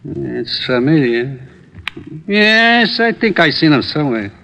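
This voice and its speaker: A man's voice: a little high, big pitch swings, slow, vocal fry, bright, forceful tone, a little hiss or hum, heavily acted. A man playing a polite, fussy delicatessen shopkeeper in a 1940s radio character style. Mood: obliging. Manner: courteous, fussy, quaint phrasing. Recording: dull old radio recording.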